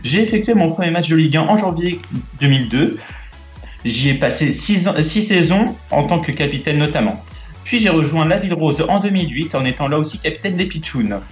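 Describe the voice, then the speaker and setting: Brief: male quiz co-host, slightly high voice, deliberate clue reading, roomy echo. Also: expressive, faint music behind. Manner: reads quiz clues slowly, then chats casually. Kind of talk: casual quiz chat on a live stream.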